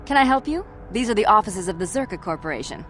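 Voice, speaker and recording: A woman playing an officious, businesslike mining company clerk in a video game, with a formal, brisk manner. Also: medium pitch, expressive, a little hiss or hum, lightly acted. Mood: curt, dismissive. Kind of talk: video game character acting.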